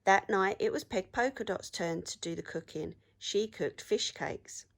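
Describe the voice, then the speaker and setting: A woman teacher reading a story aloud to children in an expressive storytelling style. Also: mid-range voice, unhurried, vocal fry.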